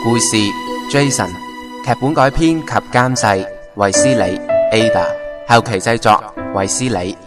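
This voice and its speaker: A man's voice: mid-range voice, unhurried. A man with a Hong Kong Cantonese accent reading scripted credits and promos as an announcer. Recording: loud music under a distant voice.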